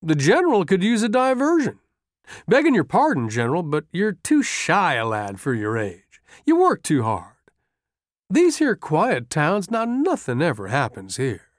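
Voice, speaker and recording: Male reader as lean army aide, audiobook character, high voice, coaxing, folksy, deferential, dry sound. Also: no echo.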